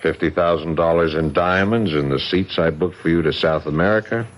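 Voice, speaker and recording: A man's voice: sits low, brisk, close to an everyday voice. An actor playing a coolly sardonic, menacing bookie in a radio drama, with slangy, mocking tough-guy talk. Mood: smug command. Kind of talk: 1970s radio drama acting.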